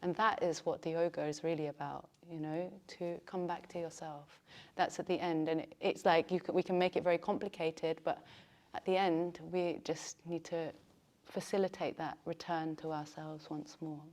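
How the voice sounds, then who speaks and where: A woman's voice: deep voice, level tone, moderate pace, hardly any pauses, a little mellow. A woman teaching yoga teacher trainees, talking in a chatty way and laughing often. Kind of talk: teaching a class.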